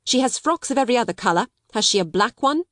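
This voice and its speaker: A woman's voice: fairly high pitch, expressive, quick pace, a bit bright, dry recording, pitched above the performer's own voice. Storybook villain: cold, domineering headmistress, fierce and biting, played by a female narrator, recorded close and dry. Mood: bitter irony. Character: stern, bitter, domineering schoolmistress, cold older sister.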